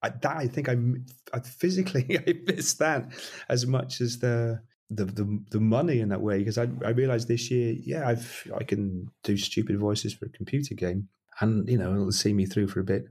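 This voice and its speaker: Man, middle-aged, husky, rambling casual chat, self-deprecating. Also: on the low side, moderate pace, hardly any pauses, darkish tone. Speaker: interviewed musician.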